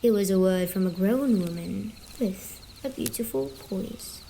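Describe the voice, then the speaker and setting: Woman as female sleep demon, ASMR storyteller, soothing, coaxing, mellow tone, leisurely delivery. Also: medium pitch, slight background noise, lightly acted. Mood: hushed storytelling. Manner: slow, soothing, coaxing, softly spoken. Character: gentle, teasing female sleep paralysis demon, comforting storyteller. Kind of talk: ASMR story-telling roleplay audio.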